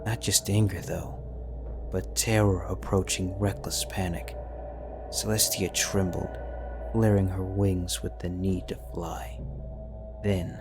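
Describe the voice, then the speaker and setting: Deep flat male audiobook narrator. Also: takes it slowly. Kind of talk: dramatic fanfic audiobook narration. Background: background music.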